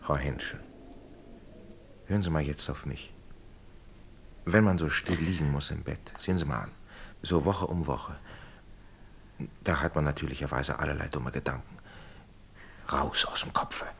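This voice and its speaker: A courteous older-brotherly gentleman with a very deep voice. He speaks in measured, reassuring and admonishing phrases. The radio play recording has a faint background noise. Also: gravelly, full character voice. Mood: earnest, reassuring. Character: courteous, paternal gentleman visiting a sickbed. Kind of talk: German radio play acting.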